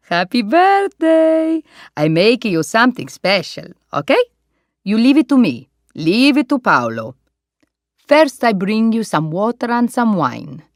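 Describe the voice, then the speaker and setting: A beaming middle-aged restaurant owner gushes in broken English, his voice bouncing up and down with hospitality, as a woman reading a story puts him on. It is a slow, dry storybook reading. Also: medium pitch, no echo.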